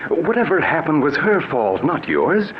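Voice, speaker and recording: An actor playing a smooth, calculating male blackmailer in a 1940s radio drama, suave and falsely solicitous with menace underneath. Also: fairly high pitch, very animated, normal speed. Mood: wheedling pressure. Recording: muffled, noisy vintage radio recording.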